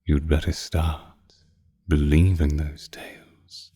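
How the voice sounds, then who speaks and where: A man's voice: a bass voice, level tone, mellow, full character voice. A man playing a seductive, possessive ancient vampire in an intimate audio roleplay, commanding and teasing, sometimes sinking into whispers. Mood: mocking, menacing. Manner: slow, teasing, commanding, breathy drops into whispers. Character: seductive, predatory male vampire, ancient but youthful-looking, possessive.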